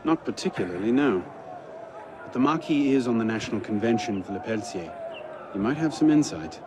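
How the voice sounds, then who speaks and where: An actor playing an earnest young assassin hero, low and grave. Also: male voice, expressive, brisk, background noise, close to an everyday voice. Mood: determined.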